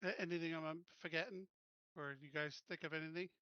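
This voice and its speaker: A man with a bright, slightly high voice chats as a player in a tabletop game. He starts and restarts his thoughts in a hesitant way. The recording is dry and a little lo-fi. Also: steady tone, quick pace, clear, resonant tone, no echo. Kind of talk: casual game-table chat.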